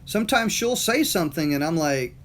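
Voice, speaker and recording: Rambling Southern man, slightly high voice. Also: animated, fairly quick, a bit bright, a little hiss or hum. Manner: rambling, repeats words, lots of fillers. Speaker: motivational talker. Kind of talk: casual monologue.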